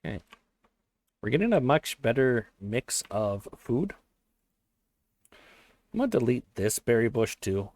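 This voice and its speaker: A man thinking aloud while he plays a strategy game, his voice a little hoarse, often correcting himself as he goes. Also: medium pitch, very animated, unhurried, long pauses, darkish tone, no room sound. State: voice slightly hoarse. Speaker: let's play host. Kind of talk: casual gameplay commentary.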